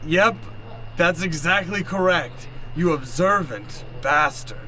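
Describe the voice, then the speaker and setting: A man's voice: a little high, animated, slow, sharp tone, heavily acted, poor audio. A man playing a blustering, eccentric older professor in an improvised radio comedy, theatrical, pompous and scolding. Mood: smug, insulting. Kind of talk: improvised old-time radio comedy acting.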